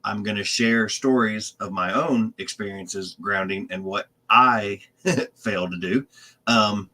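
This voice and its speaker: Man, podcast host, lowish pitch, rambling, casual, filler-heavy. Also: slow, pushed and bright. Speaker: team manager and podcast host.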